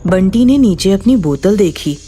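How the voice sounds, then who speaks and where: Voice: medium pitch, expressive, unhurried, mellow, a little hiss or hum. A narrator reading a scripted horror story.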